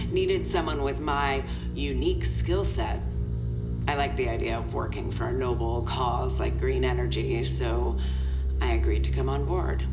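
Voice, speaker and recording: An actress playing a weary, remorseful senior agent recording a halting, wry confession in an audio drama. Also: female voice, a bass voice. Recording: roomy tape recording under music.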